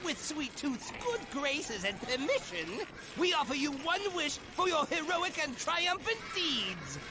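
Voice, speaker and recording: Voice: high-pitched, sing-song, unhurried, noisy background, heavily acted. A performer voicing a gleeful, showy game announcer who grants wishes in a grandiose, exclamatory way. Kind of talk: theatrical game cutscene acting.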